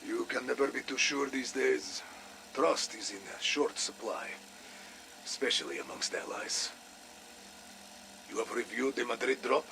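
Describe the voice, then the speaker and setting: A man playing a shrewd, wary Russian spy in a video game, with a Russian accent and measured, sly phrasing. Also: medium pitch, vocal fry, bright, forceful tone, slight background noise. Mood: wary, dry. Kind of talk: cinematic video game voice acting.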